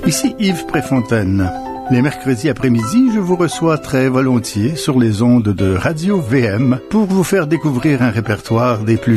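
A man presenting his weekly radio show in an inviting announcer's tone. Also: medium pitch. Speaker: radio host. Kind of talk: station promo. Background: music.

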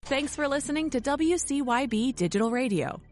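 Higher-voiced woman reading a station ident. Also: fairly quick, a little mellow. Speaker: station announcer.

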